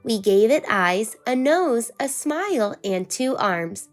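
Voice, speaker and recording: A woman's voice: on the high side, expressive, slow pace, soft background music. A woman voicing an eager little-sister girl who keeps asking wide-eyed questions. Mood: curious.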